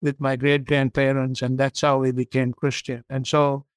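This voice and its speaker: Smooth, even-toned Sri Lankan-born guest. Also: male voice, medium pitch, normal speed, dry recording. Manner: steady, earnest storyteller. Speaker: podcast guest, company CEO. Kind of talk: interview storytelling.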